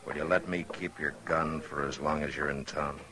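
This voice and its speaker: Very deep stern western marshal. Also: male voice, steady tone, a crowd in the background. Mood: grave, resigned. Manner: measured, grave, plain-spoken. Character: stern weary frontier marshal, grown man, principled. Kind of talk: old-time radio western acting.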